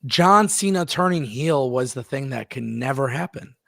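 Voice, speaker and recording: A man hosts a live wrestling talk show with a gravelly edge to his voice. He talks emphatically and stops now and then to read out viewer messages. The sound is dry and close to the mic. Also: mid-range voice, lively intonation, normal speed, pushed and bright, no echo. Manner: emphatic, repeats words, reads viewer messages aloud. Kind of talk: casual live-stream chat.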